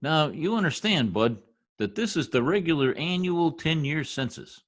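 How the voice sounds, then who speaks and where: A man's voice: on the high side, expressive, normal speed. A man playing a brash, folksy census taker in old-time radio comedy style, slangy, blustering and easily exasperated. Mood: breezy, self-important.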